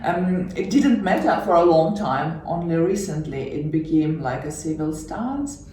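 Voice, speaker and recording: A woman's voice: low-pitched, lively intonation, measured pace, slight background noise. A middle-aged woman from Siberia with a Russian accent, telling her life story as an interview guest, reflective and hesitant with frequent laughs. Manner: warm, reflective, many hesitations, laughs often. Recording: echoey room from far off.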